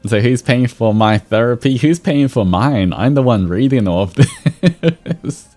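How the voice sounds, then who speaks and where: Male streamer, very low register, slow unhurried delivery, reading stories and chatting to viewers. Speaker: VTuber streamer host. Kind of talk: livestream fan-fiction reading with casual chat. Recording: soft music behind. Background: background music.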